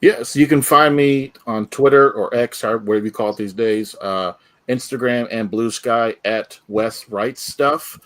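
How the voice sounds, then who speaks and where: A man with a medium-pitched voice speaks as a guest on a livestream. He plugs his writing in a casual, conversational way. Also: measured pace, fairly bright tone. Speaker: comics writer and guest. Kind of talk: casual self-promotion on a livestream.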